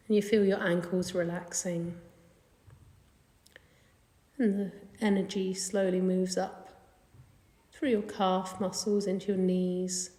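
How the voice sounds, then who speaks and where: A woman leading a guided meditation in a gentle, soothing, unhurried manner. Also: on the low side. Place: indoor carpeted room. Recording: echoey room with long reverb.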